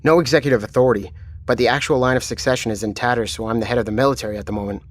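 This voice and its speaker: A man voicing an unflappable military chief in an audio drama, measured and matter-of-fact. His voice sits at an ordinary middle pitch and stays composed and businesslike. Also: steady tone, close to an everyday voice. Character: calm, commanding male military chief. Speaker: military commander.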